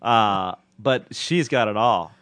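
Male podcast host, animated and jokey, bouncy pitch, dry close mic. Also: slow pace, no echo. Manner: laughs often, jokey and animated. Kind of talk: casual banter.

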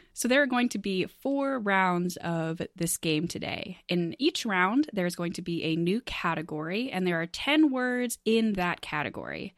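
A woman's voice: on the low side, sing-song, measured pace, dry recording, studio quality. A woman hosting a casual panel game, chatty and jokey, often laughing as she explains and runs things. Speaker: game show host.

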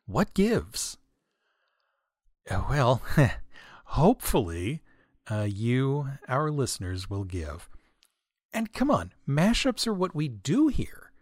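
A man's voice: medium pitch, wildly swooping pitch, slow, darkish tone, no echo, studio quality. A man hosting a podcast, reading scripted comic corrections and listener mail with asides in a tongue-in-cheek, mock-earnest way.

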